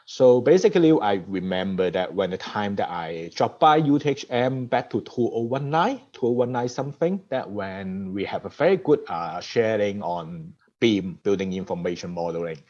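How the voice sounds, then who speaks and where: Hong Kong-accented male guest speaker, low voice, lo-fi call audio. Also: expressive, normal speed, hardly any pauses, a little mellow. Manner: repetitive restarts, non-native grammar. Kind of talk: conference talk over video call. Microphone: video call. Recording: dull lo-fi video call audio.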